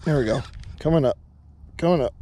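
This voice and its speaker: Young man, ice fishing vlog, excited casual chatter, head-mounted camera mic, outdoors on a frozen lake. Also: a little high. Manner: excited, chatty, quick to laugh. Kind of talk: casual play-by-play to viewers. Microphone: head-mounted action camera.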